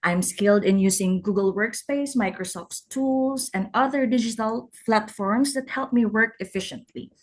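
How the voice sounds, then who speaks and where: A woman's voice: a little high, normal speed, hardly any pauses. A woman with a Filipino accent reciting a rehearsed self-introduction in an online class, cheerful in tone. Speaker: student.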